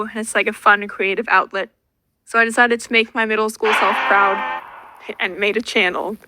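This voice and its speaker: A woman's voice: medium pitch, rough recording. Dry self-mocking young woman from Finland. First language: Finnish. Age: young adult. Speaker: YouTuber. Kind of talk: Q&A vlog voice-over.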